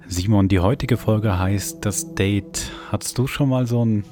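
A man hosting a podcast in a measured way. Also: fairly low pitch, over music. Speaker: podcast producer and host. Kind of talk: podcast introduction and chat.

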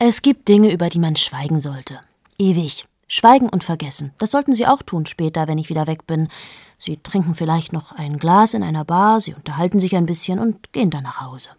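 Low-voiced, amoral woman journalist in her late 30s, confiding in hushed tones, dry studio radio play. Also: expressive, fairly quick, no room sound. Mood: brooding. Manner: intimate, addresses the listener, slips into whispers. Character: cold amoral female journalist, 38, confessing. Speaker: radio drama lead.

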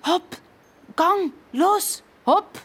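An eager young child from a children's audio story, acted by a woman, high in pitch and slow in pace. The speech is childlike and exclaiming. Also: fairly bright tone, a little hiss or hum, exaggerated voice. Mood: urging. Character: young children, a girl and a boy, adventurous friends.

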